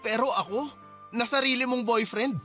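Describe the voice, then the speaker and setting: A man's voice: extremely high pitch, normal speed, sharp tone, faint music behind. A man acting a hurt, protesting young boyfriend in a Filipino radio drama. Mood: hurt, indignant.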